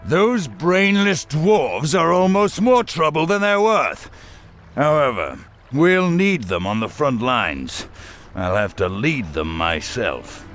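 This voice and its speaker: An actor voicing a pompous human noble commander who talks in a haughty, sneering way. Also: male voice, on the high side, sing-song, slow pace, a bit bright, a little hiss or hum, heavily acted. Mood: scornful.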